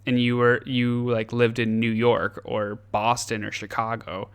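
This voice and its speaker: A young man with an American accent speaks in a smooth, clear voice of middling pitch. He chats loosely and animatedly, cracking jokes and swearing freely, often breaking off and restarting. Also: a little slow. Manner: animated, profane, joking, with restarts. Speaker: podcast host. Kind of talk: casual chat.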